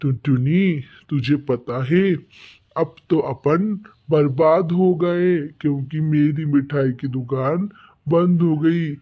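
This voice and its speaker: Casting: man as anxious cartoon bird husband, highish male voice, plaintive and emphatic, tinny narrow-band recording. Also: slow. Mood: distressed. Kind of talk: children's cartoon story voice acting. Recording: thin phone-like band-limited sound.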